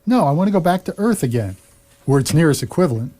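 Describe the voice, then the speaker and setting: A man's voice: on the high side, very animated, fast talker, warm tone. A man playing a bewildered, fussy Earthman stranded in space, stammering and indignant, in comic sci-fi radio play dialogue. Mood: puzzled, fussy.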